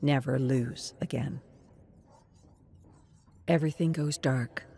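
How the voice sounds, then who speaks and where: A narrator delivers a scripted story slowly and deliberately, with dramatic weight. The pitch sits in a middle range, and some ambient noise runs behind the voice. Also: fairly even intonation, gravelly, dark tone.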